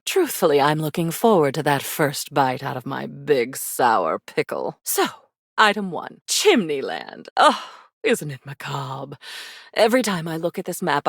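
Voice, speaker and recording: A woman's voice: low voice, no room sound, studio quality, full character voice. A woman voicing a mysterious, devious living memory in a video game, theatrical and flirtatious, with a darkly teasing, sly manner. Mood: sultry, dramatic. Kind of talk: video game character acting.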